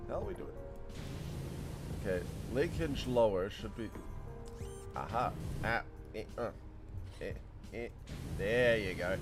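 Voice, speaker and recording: A man streaming a game, chatting casually and rambling to his viewers with frequent laughs. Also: on the low side. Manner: chatty, rambling, laughs often, talks to chat. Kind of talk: casual gameplay commentary. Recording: game music under the voice. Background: game music.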